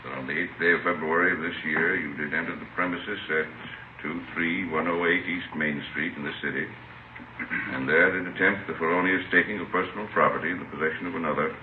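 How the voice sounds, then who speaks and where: An actor playing a stern judge who recites formally and in measured phrases in an old radio drama. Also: male voice, rumbling low, steady tone, narrow, tinny audio. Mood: solemn. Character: stern, formal male judge passing sentence. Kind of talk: 1940s-50s radio crime drama acting. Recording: noisy, distant, echoey old radio recording.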